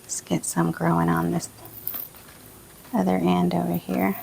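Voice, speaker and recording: A hoarse woman with a gravelly, low voice talks slowly and softly. She chats relaxed and unhurried, with plenty of little fillers. Her pitch stays flat and even. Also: slight background noise, low-quality recording. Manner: relaxed, soft-spoken, many fillers. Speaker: plant channel host. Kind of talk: casual vlog chat while repotting. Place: indoor plant room.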